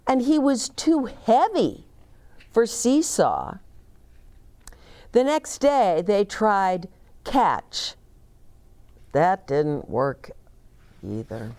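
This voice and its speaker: Older woman reading stories very slowly. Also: fairly low pitch, warm tone. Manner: deliberate, dramatic phrasing with pauses. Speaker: children's story reader and host. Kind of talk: expressive storybook read-aloud. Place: small decorated set, indoors, seated.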